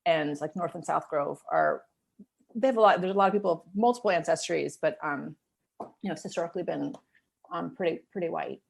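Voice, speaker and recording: Interview guest: American woman, 50s, low register with vocal fry, conversational and explanatory. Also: brisk. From Miami, United States. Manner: talkative, explanatory, informal with frequent "like" and "you know".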